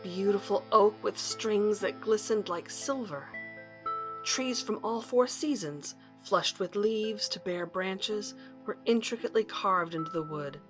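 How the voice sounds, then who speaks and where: A woman's voice: mid-range voice, unhurried, music in the background, lightly acted. A woman playing a determined academic searching for her missing husband in an earnest audio drama. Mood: wistful. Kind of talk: audio drama acting and narration.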